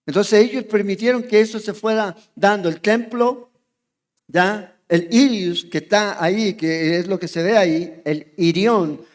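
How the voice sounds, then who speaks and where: A man's voice: sits high, unhurried, in a large hall. A man with a Chilean accent preaching an expository sermon, chatty, often checking in with his congregation. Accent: Chilean Spanish. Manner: conversational, checks in with the congregation, asks questions. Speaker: pastor. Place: church sanctuary.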